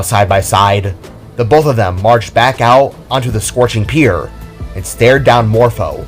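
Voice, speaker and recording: A man narrating a scripted audio drama as storyteller. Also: on the low side. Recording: background music under the voice.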